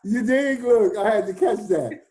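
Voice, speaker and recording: An American man in his fifties talks through his memories in an easy, unhurried way. His voice is mellow and warm, and he laughs easily. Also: high-pitched. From United States. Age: 50s. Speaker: DJ and hip house artist. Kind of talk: casual conversation.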